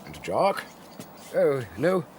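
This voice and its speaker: An actor playing a pompous, blustering British army Major in a radio drama, clipped and harrumphing. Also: male voice, mid-range voice, wildly swooping pitch, extremely slow, darkish tone, slight background noise, heavily acted. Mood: pompous, uneasy. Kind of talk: BBC radio drama dialogue.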